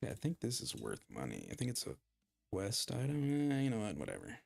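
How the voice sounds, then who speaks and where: Gravelly man chatting through a game stream. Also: mid-range voice, wildly swooping pitch, fairly quick, darkish tone. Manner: rambling, self-deprecating, chatting with viewers while playing. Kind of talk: casual gaming livestream chat.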